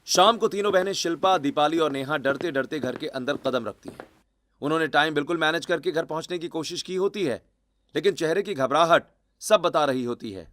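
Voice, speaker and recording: High-pitched man narrating a dramatic story. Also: fairly quick, a bit bright.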